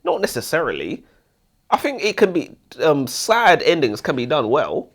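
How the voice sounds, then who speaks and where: A man's voice: fairly high pitch, wildly swooping pitch, moderate pace, a bit bright, a little hiss or hum. British guy chatting books with a mate, opinionated and jokey, voice jumps up and down a lot. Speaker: book review co-host. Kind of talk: casual banter and book review.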